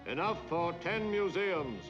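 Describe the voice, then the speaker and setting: Pompous American newsreel narrator, portentous declamation, high voice, dull vintage film soundtrack. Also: male voice, animated, a little slow. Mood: grand, solemn. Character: pompous newsreel announcer, grandiose and solemn. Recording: dull 1940s film soundtrack, loud music. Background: loud orchestral music.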